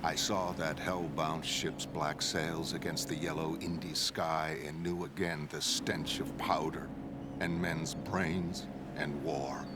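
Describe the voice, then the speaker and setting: A man's voice: a bass voice, very noisy. A man playing a despairing shipwrecked mariner, narrating a horror tale solemnly in archaic literary phrasing. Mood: haunted, despairing. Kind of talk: scripted dramatic narration.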